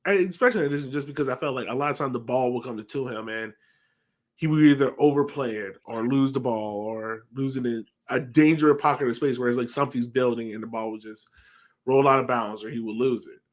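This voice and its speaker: A man's voice: medium pitch, quick pace. A man in his thirties talking casually through sports analysis as a podcast co-host on a video call from a room at home.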